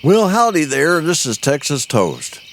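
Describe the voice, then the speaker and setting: A man's voice: fairly high pitch, huge pitch swings, a little slow, a bit bright, a little hiss or hum, voice pushed up, exaggerated voice. A man playing a folksy cowboy mayor. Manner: folksy, welcoming cowboy talk. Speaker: storyteller and town mayor. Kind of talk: scripted audio story.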